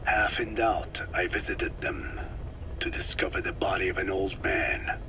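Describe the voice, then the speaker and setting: An actor playing an ancient, soft-spoken scientist in a video game, with a breathy aged voice and a measured, formal way of telling things. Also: male voice, fairly even intonation. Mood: reflective, intense. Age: older. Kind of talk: dramatic video game dialogue. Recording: noisy cassette tape with vehicle-like rumble.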